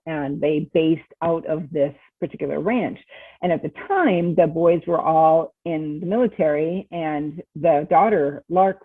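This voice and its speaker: A woman's voice: low-pitched, husky, dry recording. A chatty, enthusiastic woman telling stories casually as an author guest in an interview, heard over a thin, phone-like connection. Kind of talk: casual animated storytelling in an interview. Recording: thin, phone-like, band-limited call.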